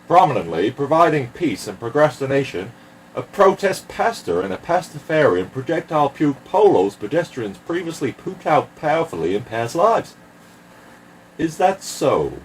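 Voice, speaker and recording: A man's voice: a little high, sing-song, normal speed, a little hiss or hum, voice pushed up, poor audio. A man voicing a pompous storyteller reciting in a theatrical way.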